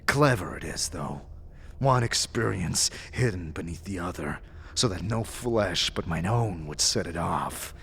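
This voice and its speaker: A man's voice: low-pitched, animated, unhurried, creaky, a bit bright, slight background noise, voice pushed down, full character voice. A man voicing a paranoid, mad earlier self of an immortal man in an audio drama, erratic between sly muttering and frantic outbursts. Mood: sly pride.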